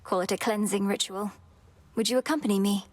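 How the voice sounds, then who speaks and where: A woman's voice: medium pitch, steady tone, a little fast, lightly acted. A woman voicing an earnest young witch princess. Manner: formal, earnest. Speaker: game character.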